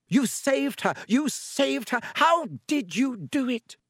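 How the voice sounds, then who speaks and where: A man voicing an emotional middle-aged mother who exclaims loudly. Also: very high-pitched, expressive, slow, no echo, clean studio sound, pitched above the performer's own voice, heavily acted. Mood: overjoyed.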